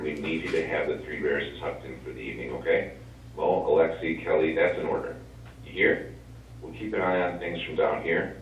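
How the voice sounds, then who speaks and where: A man's voice: a bass voice, slight background noise. An actor playing an authoritative, fatherly mission controller sending a message in a stage play. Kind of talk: stage acting, radio message. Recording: dull, very echoey distant stage recording.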